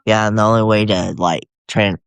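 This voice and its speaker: A man with a husky voice, nasal from a cold, chatting hesitantly as a guest on a live video from home. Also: lowish voice, fairly even intonation, no echo. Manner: halting, hesitant, full of fillers. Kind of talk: casual live-video chat.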